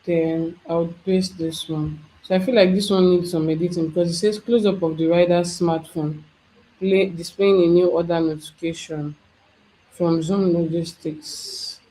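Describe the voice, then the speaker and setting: An instructor talking through a software tutorial step by step, thinking aloud as they work. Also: medium pitch, steady tone, moderate pace, poor audio. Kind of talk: screen-share software tutorial.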